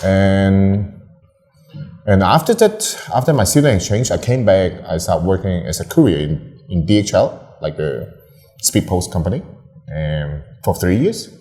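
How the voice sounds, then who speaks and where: A man's voice: deep voice, expressive, a little slow, warm tone. A young man from Hong Kong, a photographer, chatting casually as an interview guest, rambling with lots of fillers and restarts. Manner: laughs often, rambling with many fillers and restarts.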